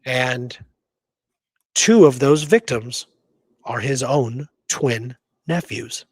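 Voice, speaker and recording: A man's voice: mid-range voice, extremely slow, husky, no echo. American man chatting casually, dry close sound. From Pacific Northwest, United States. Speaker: show co-host and history researcher. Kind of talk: casual history discussion.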